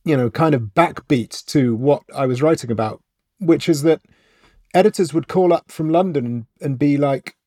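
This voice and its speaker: A man's voice: fairly high pitch, moderate pace, a little mellow, dry recording, studio quality. Wry, reflective middle-aged man from Wales. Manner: reflective, wry storytelling with fillers. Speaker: author and journalist guest. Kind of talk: conversational interview.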